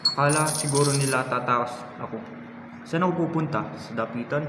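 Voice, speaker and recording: A man's voice: mid-range voice, a little fast, very noisy, close to an everyday voice. Male amateur actor as condemned patriot, composed and resolute, radio drama, distant in a reverberant hall. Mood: wry. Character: condemned patriot hero, calm and resolute before execution. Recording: echoey hall, distant, loud music.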